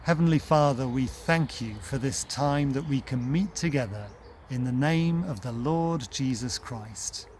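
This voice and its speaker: Slow-speaking man, outdoors. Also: mid-range voice, a little mellow. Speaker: lay reader leading an online church service. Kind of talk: welcome, notices and spoken prayer to camera. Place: outdoors in a leafy garden. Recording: outdoor ambient noise.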